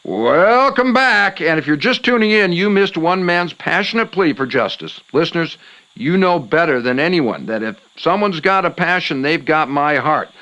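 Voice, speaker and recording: Guy doing a late night radio host, highish lively voice, folksy and theatrical. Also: normal speed, low-quality recording. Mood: showy. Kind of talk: acted radio talk show.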